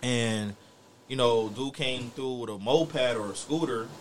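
A man's voice: mid-range voice, unhurried, a crowd in the background, rough recording. A man with a US East Coast accent telling a story in casual chat, slangy, profane and boastful.

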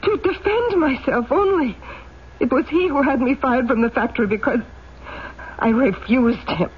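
Radio drama role: destitute young widow, very high voice, defiant, pleading, muffled old broadcast sound. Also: female voice, moderate pace, breathy edge. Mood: pleading, desperate. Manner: plaintive, indignant. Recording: dull, noisy old radio recording.

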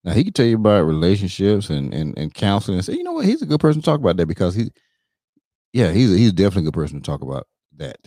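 A man's voice: low-pitched, huge pitch swings, racing, warm tone, no room sound. A man hosts a loose, joking chat show, and his voice rises and falls a lot as he riffs. The sound is dry and close. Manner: loose, joking, repeats "you know what I'm saying". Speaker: show host. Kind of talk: casual banter with sung jingle.

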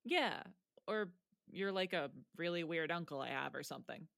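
A woman's voice: fairly low pitch, animated, normal speed, vocal fry, fairly bright tone, dry recording, studio quality. A woman playing a frank, wry teenage student in a naturalistic audio drama, understated and a little hesitant. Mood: wry, resigned.

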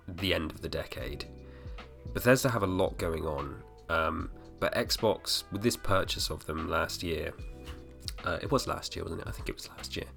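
A man in his thirties hosting a podcast alone, slightly hoarse, rambling with fillers and restarts. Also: deep voice, normal speed, a bit bright, soft background music. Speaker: podcast host. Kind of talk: casual solo podcast talk.

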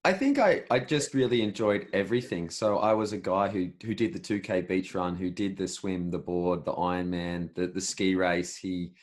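A man in his late twenties with an Australian accent, answering interview questions in a relaxed, rambling way with frequent restarts. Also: deep voice, normal speed, hardly any pauses. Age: 20s. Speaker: podcast host, interviewee.

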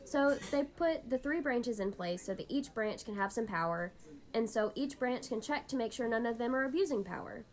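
A woman's voice: fairly high pitch, lively intonation, moderate pace. A woman hosting an educational podcast in a scripted, instructive explainer style. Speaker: podcast host.

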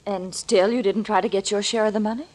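A woman's voice: mid-range voice, steady tone, fast, darkish tone, lightly acted. An actress playing an anxious young woman in a 1940s radio thriller. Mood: puzzled. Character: anxious young woman, frightened but questioning.

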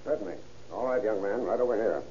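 An actor playing a kindly police doctor in an old radio drama, measured and reassuring. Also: male voice, on the low side, dark tone, a little hiss or hum, lightly acted. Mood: calm. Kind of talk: 1930s radio acting. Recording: dull old radio recording.

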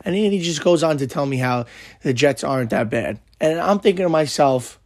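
A young man, hosting a podcast and telling a story in a brash, profane, animated way, his voice hoarse and worn out. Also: on the high side, fairly quick, dry recording. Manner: brash, swearing, animated retelling. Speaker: podcast host. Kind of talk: casual profane storytelling monologue.

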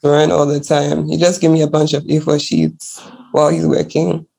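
A woman's voice: low-pitched, normal speed, gravelly, breathy edge. A young woman from Ghana, a digital artist and guest, chatting casually and laughing easily as she tells her story. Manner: relaxed, laughs easily, frequent likes and yeahs. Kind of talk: casual interview chat.

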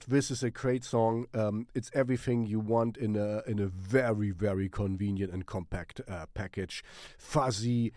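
A man's voice: lowish voice, warm tone, dry recording, studio quality. A man with a German accent, sick with a cold, rambling through a casual music recommendation full of fillers and swearing. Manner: swears casually and laughs often. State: has a cold. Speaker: music channel host.

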